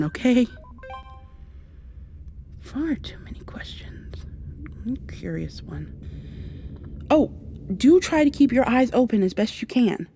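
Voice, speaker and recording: A woman's voice: fairly low pitch, a little hiss or hum. A woman playing an earnest, protective time traveler in an ASMR roleplay, speaking close to the mic in a hesitant, rambling, reassuring way. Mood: gently excited. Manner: hesitant, rambling, gently reassuring, some whispering. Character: earnest, flustered, long-winded female time traveler, protective. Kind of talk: ASMR roleplay, softly acted monologue to the listener. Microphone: close binaural microphone.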